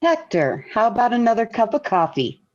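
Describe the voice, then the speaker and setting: A woman's voice: a little high, very animated, a little fast, a little hiss or hum. An actress playing a patient, dryly witty middle-aged wife and mother in 1940s radio sitcom style. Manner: gentle, wry. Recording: dull vintage radio recording.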